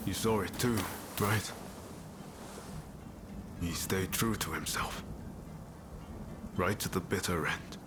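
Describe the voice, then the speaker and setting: An actor playing a resolute, spirited young samurai rebel in a dramatic video game cutscene, speaking in an earnest, plain-spoken way. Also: male voice, deep voice, takes it slowly, pauses often, background noise. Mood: solemn, resolved. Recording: loud music bed. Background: dramatic music.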